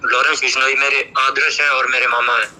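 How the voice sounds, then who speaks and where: A man's voice: mid-range voice, flat delivery, brisk, bright, forceful tone, a little hiss or hum. A man giving interview answers on a phone call, assertive and unapologetic, often repeating his phrases.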